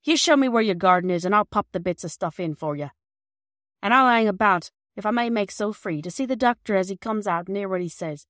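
A woman's voice: medium pitch, animated, fairly quick, fairly bright tone, no room sound, studio quality, lightly acted. A woman voicing a kindly, chatty old male railway porter who speaks in rustic, folksy phrases.

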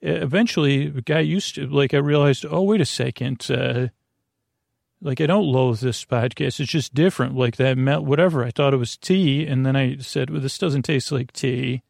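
A man with a very creaky, gravelly voice hosts a sleep podcast. He talks casually and wanders from one aside to the next, often hesitating mid-thought. The sound is dry and close, with no room around it. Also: mid-range voice, normal speed, darkish tone, dry recording. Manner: meandering, hesitant, trailing digressions. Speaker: podcast host. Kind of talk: rambling bedtime sleep-podcast intro, casual monologue.

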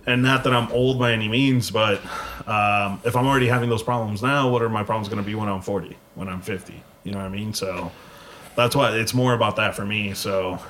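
A man's voice: on the low side, steady tone, a little fast, fairly bright tone, a little hiss or hum. A man in his early thirties co-hosting a casual podcast, laid-back and swearing offhandedly. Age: early 30s. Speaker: podcast co-host.

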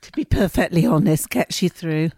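A woman's voice: fairly low pitch, expressive, moderate pace, darkish tone, slightly rough, dry recording, studio quality. A middle-aged woman co-hosting with her daughter, gushing and enthusiastic in casual chat. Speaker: co-host, mother of the host. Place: home kitchen.

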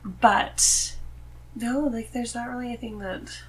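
A woman's voice: fairly high pitch, fairly even intonation, measured pace. Woman chatting frankly over background noise. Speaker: podcast guest, host's wife. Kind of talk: casual chat.

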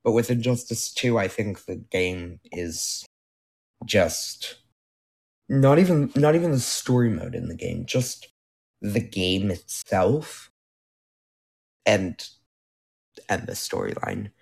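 Slow-talking young man, losing his voice. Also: lowish voice, lively intonation, creaky, a little mellow. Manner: rambling, self-correcting, with filler words. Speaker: podcast host. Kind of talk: casual solo podcast chat. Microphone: new microphone being tested.

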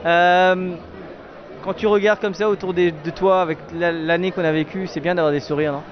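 A man with a high-pitched voice hosts a podcast, chatting casually and jokily with guests at an outdoor wine festival. The sound is a little dull, and a busy crowd chatters all around. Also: fairly even intonation, normal speed. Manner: jokey, familiar, swears casually. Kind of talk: casual street interviews.

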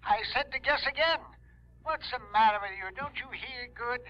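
A man's voice: extremely high pitch, moderate pace. An actor playing a testy, scatterbrained, eccentric rich old man who dithers and repeats himself in a radio drama. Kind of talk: 1960s radio drama acting. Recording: dull old radio broadcast recording.

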